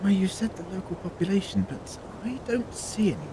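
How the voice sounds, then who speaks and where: An actor playing a learned, eloquent time traveller in an audio drama, with a theatrical, drily humorous manner. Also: male voice, high-pitched, expressive, fairly quick, background noise. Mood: musing. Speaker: lead actor.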